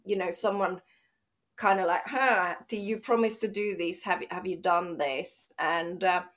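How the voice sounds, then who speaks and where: A woman's voice: fairly low pitch, a little slow, fairly bright tone. A middle-aged woman from Finland, a fitness trainer speaking as an interview guest, outgoing and chatty with frequent restarts. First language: Finnish. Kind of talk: casual interview chat.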